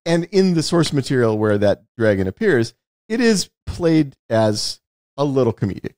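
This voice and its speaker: A man's voice: medium pitch, sing-song, normal speed, darkish tone, dry recording, studio quality. A man co-hosting a podcast, explaining film and game details in a knowledgeable, casual way. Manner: explanatory, knowledgeable, repeats words when starting. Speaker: podcast co-host. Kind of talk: casual movie discussion.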